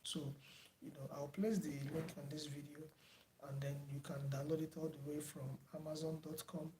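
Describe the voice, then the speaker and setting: A soft-spoken man closing an online driving theory class, talking unhurriedly with many fillers and repeats. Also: a little high, little pitch movement, moderate pace, slight background noise. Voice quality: soft-spoken, says he does not shout. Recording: echoey room with faint background ambience.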